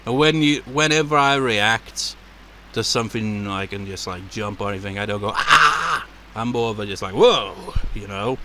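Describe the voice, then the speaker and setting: A man with a deep voice giving casual, rambling commentary as a let's play host, laughing and swearing as he goes. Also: brisk, noisy background. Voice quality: naturally deep voice.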